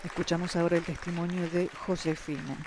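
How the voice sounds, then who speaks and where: A man's voice: fairly high pitch, little pitch movement, fairly quick. A man giving live event commentary as a broadcast commentator. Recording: crowd and noise behind commentary. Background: event crowd ambience.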